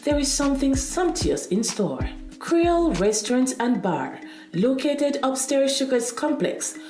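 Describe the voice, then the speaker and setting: A woman's voice: high voice, very animated, a little slow, darkish tone. A woman announcer reading a scripted promotional advert. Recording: very roomy, echoing sound. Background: faint music.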